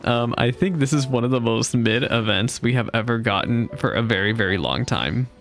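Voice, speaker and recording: A woman's voice: extremely low pitch, moderate pace. A woman giving casual reaction commentary as she streams a video game. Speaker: gaming streamer.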